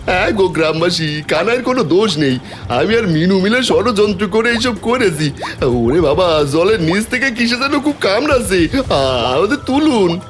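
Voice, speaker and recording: A man puts on a greedy, cowardly villager for a cartoon tale. The voice is high and swoops about in exaggerated yelps and pleas, over faint music. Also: sing-song, moderate pace. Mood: panicked. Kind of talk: Bengali cartoon voice acting. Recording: music behind the voice.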